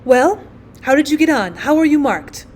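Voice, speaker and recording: A woman's voice: sits high, lively intonation, moderate pace, a little mellow, a little hiss or hum, pitched above the performer's own voice. A woman voicing an overwrought, self-pitying mother who scolds and laments in a storybook reading. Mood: anxious. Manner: lamenting, melodramatic.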